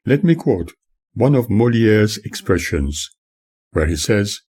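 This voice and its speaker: A man reading his own memoir as scripted audiobook narration, in a formal, emphatic and deliberate manner. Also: on the low side, big pitch swings, a little mellow, husky.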